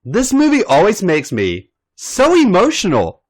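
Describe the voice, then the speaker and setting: A man's voice: sits high, wildly swooping pitch, measured pace, pitched above the performer's own voice, exaggerated voice. A man doing a bubbly young woman. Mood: eager.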